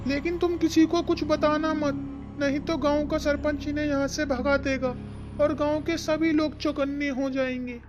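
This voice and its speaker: A woman's voice: high voice, little pitch movement, normal speed, highs cut off, music in the background, close to an everyday voice. A woman voicing a kindly, misunderstood young male ghost in a children's cartoon, earnest and apologetic. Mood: worried. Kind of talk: children's cartoon story acting.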